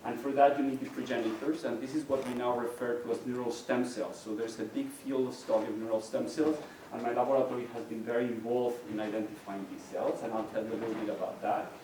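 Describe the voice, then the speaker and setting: A man's voice: mid-range voice, little pitch movement, quick pace, hardly any pauses, mellow, a little hiss or hum. A middle-aged man whose first language is Spanish, giving a university lecture in an explanatory way with frequent restarts. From Mexico. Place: lecture hall. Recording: very echoey hall, distant mic.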